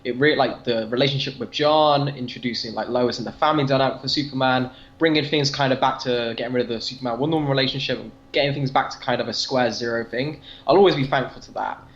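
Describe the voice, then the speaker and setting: A man's voice: medium pitch, quick pace, hardly any pauses, reverberant, muffled recording. A British man speaking as a podcast guest in casual, opinionated discussion. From Britain. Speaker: podcast guest and comic book fan.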